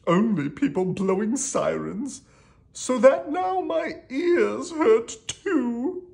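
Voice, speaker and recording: A woman voicing an excitable, pompous king in a children's storybook, with theatrical, drawn-out exclamations. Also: a little high, gravelly, pitched above the performer's own voice, exaggerated voice. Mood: wailing, upset.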